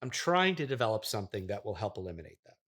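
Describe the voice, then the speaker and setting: A man playing an affluent, self-important app developer as a deadpan guest on a comedy interview podcast. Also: on the low side. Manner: pompous, deadpan, condescending, deliberate.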